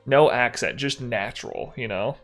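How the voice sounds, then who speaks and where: A man's voice: medium pitch, moderate pace, fairly bright tone, soft background music. A young man from Texas with a mild Texan accent, chatting casually on a livestream and restarting his sentences often as he rambles. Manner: rambling, self-correcting, chatty with chat. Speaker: streamer.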